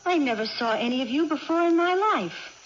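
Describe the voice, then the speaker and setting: A woman's voice: high voice, lively intonation, a little fast. An actress playing a bewildered, childlike young woman with amnesia in a 1980s radio drama, blunt and full of naive questions. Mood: puzzled, brusque. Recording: muffled old radio broadcast, faint noise.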